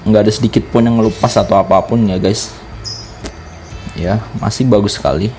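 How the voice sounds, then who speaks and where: A man's voice: on the low side, steady tone, a little fast, a little mellow, faint music behind. A man with a Jakarta Indonesian accent giving a casual product review, explaining things informally step by step as a vlogger.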